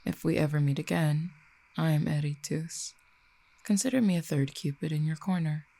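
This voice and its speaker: Casting: composed, dangerous exiled cupid for audio roleplay performed by an actor, eloquent and formal, dark creaky voice of medium pitch. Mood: polite, curious. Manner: measured, formal, eloquent phrasing. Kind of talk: audio roleplay acting, addressed to listener.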